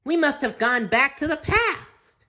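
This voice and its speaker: A man voicing a cheerful, eager young sea sponge in a squeaky, high-pitched voice, read from a storybook. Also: lively intonation, a little slow, sharp tone, full character voice. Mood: excited.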